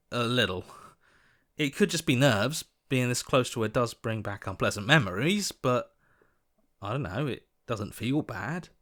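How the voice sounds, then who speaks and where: Earnest young hero with lively, swooping pitch. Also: male voice. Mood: tender, hopeful. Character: earnest young male hero, hopeful, indignant inner voice. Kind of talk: video game voice acting.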